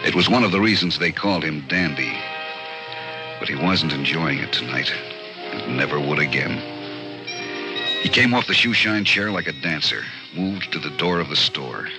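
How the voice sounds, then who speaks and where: An actor playing a grimly cool hard-boiled narrator of an old radio crime story, terse and dramatic. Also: male voice, a bass voice, lively intonation, moderate pace. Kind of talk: scripted noir narration, 1940s radio. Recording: old radio recording under loud music.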